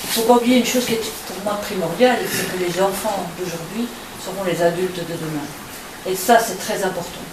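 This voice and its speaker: A woman's voice: on the low side, fairly quick. A middle-aged Belgian woman speaking at a public debate, lecturing with insistent emphasis. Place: public meeting hall. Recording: echoey room, distant mic, background noise.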